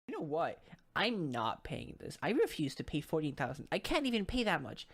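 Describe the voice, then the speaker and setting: A woman's voice: low-pitched, very animated, normal speed, slightly rough. A woman speaking in a casual, everyday way.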